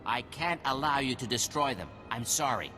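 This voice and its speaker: An actor playing a proud, arrogant scientist in a video game, formal and defensive, stammering when flustered. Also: male voice, mid-range voice, lively intonation, normal speed, natural voice. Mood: proud, resigned. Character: brilliant, arrogant male droid scientist, defensive and unrepentant. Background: game music.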